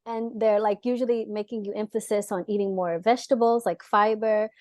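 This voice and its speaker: A young adult woman, born in the US and raised in Israel, chatting as a dietitian guest in a lively way, full of fillers and laughs. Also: mid-range voice, fairly quick, no echo, studio quality. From born in the US, grew up in Israel. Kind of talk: casual interview talk.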